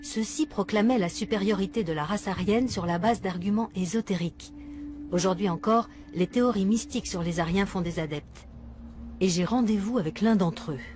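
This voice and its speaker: A woman narrating a documentary and interviewing a guest with calm, probing questions. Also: medium pitch, normal speed, soft background music.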